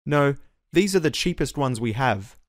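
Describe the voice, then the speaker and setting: A man reading short English reply lines slowly and clearly, repeating each phrase for learners. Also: mid-range voice, animated, no echo, clean studio sound. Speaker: dialogue reader for English learners. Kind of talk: slow scripted phrase repetition.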